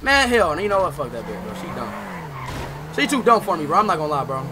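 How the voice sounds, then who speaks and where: Brash young man yelling through a noisy stream. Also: fairly high pitch, brisk. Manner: brash and excitable, swears and says bro constantly, bursts into shouts. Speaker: streamer playing a roleplay game. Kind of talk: loud casual livestream chat. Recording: noisy stream audio with game sounds behind.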